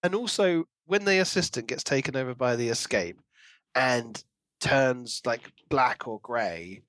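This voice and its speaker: A man's voice: medium pitch, lively intonation, a little slow, a bit bright, dry recording. A man co-hosting a podcast, chatting casually and enthusiastically about a book. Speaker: podcast co-host.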